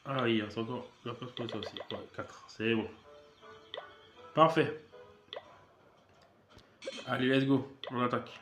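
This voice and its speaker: A man with a head cold talks his way through a mobile strategy game in a loose, rambling way, full of fillers and restarts. His voice is medium in pitch. The room is echoey, and faint game music plays behind him. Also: steady tone, measured pace, pauses often, fairly bright tone. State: has a cold. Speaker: gaming channel host. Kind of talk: casual gameplay commentary.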